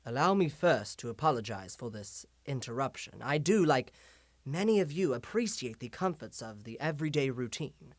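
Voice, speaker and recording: A man's voice: mid-range voice, dry recording, lightly acted. Man acting a theatrical, eloquent vigilante revolutionary, dry close sound. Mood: courteous, composed. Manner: measured, rhetorical, deliberate dramatic pauses, drops to whispers. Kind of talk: dramatic monologue, broadcast address to a nation.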